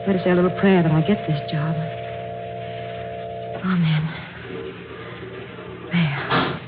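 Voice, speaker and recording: Eager young radio drama heroine, muffled recording. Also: female voice, lowish voice, steady tone, slow, over loud music. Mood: uneasy, wondering. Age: young adult. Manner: earnest, talks thoughts aloud, hesitant. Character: eager, polite young woman job seeker, nervous but determined. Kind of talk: old-time radio drama acting. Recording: dull, noisy vintage radio recording.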